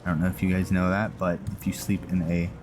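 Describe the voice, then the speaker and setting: Low-voiced guy doing chill game roleplay commentary, takes his time, game music behind him. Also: flat delivery, quick pace. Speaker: gaming video host. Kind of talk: casual gameplay roleplay commentary.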